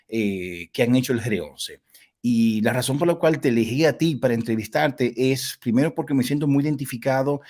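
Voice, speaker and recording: A man with a Dominican Spanish accent hosting a casual live interview, talking at length in an effusive, halting way. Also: mid-range voice, a little mellow. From Dominican Republic. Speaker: interviewer, host.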